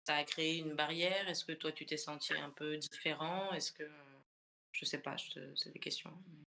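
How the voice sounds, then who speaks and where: A woman's voice: deep voice, steady tone, moderate pace, sharp tone. A woman from the Paris suburbs hosting a casual online group discussion, thoughtful and searching for her words as she goes, on a voice call from home. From France, Paris suburbs. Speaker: host.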